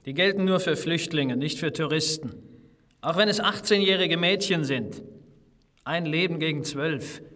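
An actor playing a hard, pragmatic rocket technician in a German radio play, speaking matter-of-factly. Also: male voice, on the high side, normal speed, fairly bright tone, no echo, lightly acted. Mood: cold, urgent. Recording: noisy old radio recording.

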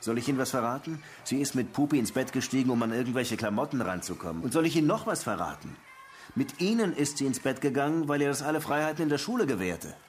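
An actor playing a sardonic, insistent police lieutenant interrogating suspects in a radio crime drama. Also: male voice, mid-range voice, lively intonation, quick pace, a little hiss or hum, natural voice. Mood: stern. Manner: dry, insistent, pressing.

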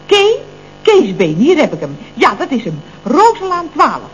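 An actress playing a chatty, exclamatory Dutch office secretary in the style of 1970s radio comedy. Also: female voice, sits high, wildly swooping pitch, moderate pace. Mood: gushing, gossipy. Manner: gossipy, exclamatory. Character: chatty, gushing office secretary, drily puzzled by her boss. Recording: dull, noisy old radio recording with hum.

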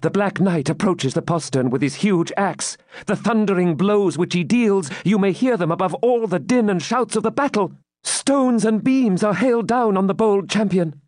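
A man's voice: high-pitched, normal speed, darkish tone, no echo, studio quality, voice pushed up, close to an everyday voice. A man voicing a fervent, courageous young maiden with breathless, exclamatory, archaic storybook speech. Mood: urgent, fervent.

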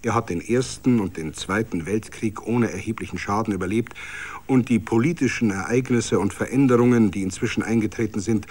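A man reading scripted literary narration for a radio play in a measured storytelling manner. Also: lowish voice, darkish tone. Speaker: narrator and reader of all parts.

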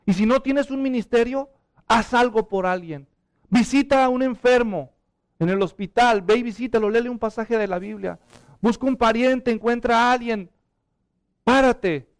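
A middle-aged man with a Mexican Spanish accent delivers a passionate sermon. His voice sits high and sounds smooth and resonant. He sinks to hushed, pleading tones, then lifts into urgent appeals. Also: moderate pace. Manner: impassioned, drops to hushed prayer then rises to urgent appeals. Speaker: pastor.

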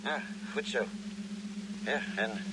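An actor playing a level-headed, commanding doctor aboard a spaceship in a Dutch radio drama. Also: male voice, medium pitch, takes it slowly, lightly acted. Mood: calm, focused. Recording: noisy old radio drama recording.

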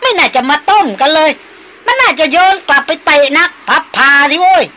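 A woman voicing a foul-mouthed old male hunter who shouts curses at his companions. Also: high voice, lively intonation, moderate pace, a little hiss or hum, pitched above the performer's own voice. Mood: angry shouting. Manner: shouting, cursing.

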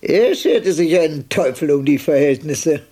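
High-voiced, weary elderly carer. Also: male voice, expressive, a little slow, mellow. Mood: reminiscing. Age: older. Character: impatient elderly carer of a bedridden partner. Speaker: radio drama actor. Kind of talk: radio drama dialogue.

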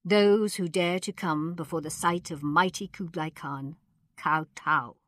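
A woman's voice: lowish voice, fairly even intonation, a little slow, fairly bright tone, no echo, clean studio sound. A woman voicing a pompous male court secretary in a storybook, declaiming commands with reverent self-importance. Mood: commanding, declamatory.

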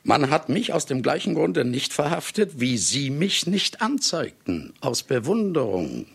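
An actor in a German radio play as a celebrated writer who smugly confesses to murders, urbane, condescending and theatrically deliberate. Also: male voice, mid-range voice, sing-song, creaky, slightly rough, full character voice. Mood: lecturing, mocking.

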